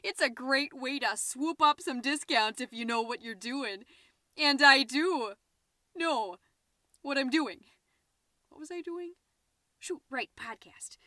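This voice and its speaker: Woman playing a high, bright, chatty podcast mom. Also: slow pace, pauses often, dry recording, clean studio sound, heavily acted. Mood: chirpy, self-satisfied, then flustered. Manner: rambling, self-interrupting, theatrically emphatic. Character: chatty, scatterbrained, overexcited suburban mom hosting a podcast. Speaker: podcast host. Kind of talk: comedic character monologue as a homemade podcast.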